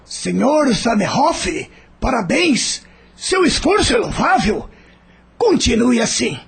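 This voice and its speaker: A man's voice: very high voice, sing-song, takes it slowly, vocal fry, slightly rough, exaggerated voice. A man playing a condescending schoolteacher who praises his pupil in a formal, emphatic way, in a radio drama. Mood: pompous praise.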